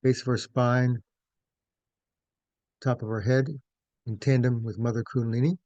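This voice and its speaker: A man with a dark, relaxed voice guides a meditation in measured, gentle phrases. He leaves pauses between short instructions. The sound is dry and close to the room-free, though a little dull and lo-fi. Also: medium pitch, dry recording.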